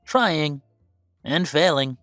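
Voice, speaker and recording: A woman's voice: low-pitched, animated, very slow, fairly bright tone, dry recording, studio quality, faint music behind, full character voice. A woman playing a bitter, defiant werewolf big brother estranged from the family, curt and confrontational, in an audio drama. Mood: scornful. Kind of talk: scripted audio roleplay drama.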